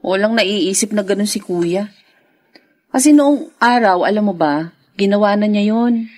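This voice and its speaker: An actress playing a soft-hearted, anxious wife and mother in a Filipino radio drama, tender and hesitant. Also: mid-range voice, a little slow, lightly acted. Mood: wistful.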